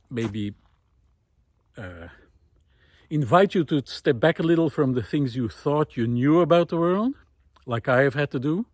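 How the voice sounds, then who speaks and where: A man giving an unscripted, reflective monologue while out walking, thoughtful and hesitant, pausing often as he finds his words. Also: fairly high pitch, darkish tone, dry recording. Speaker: host of a walking audio diary, former teacher. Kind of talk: unscripted reflective spoken monologue recorded on a walk. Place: outdoors, walking.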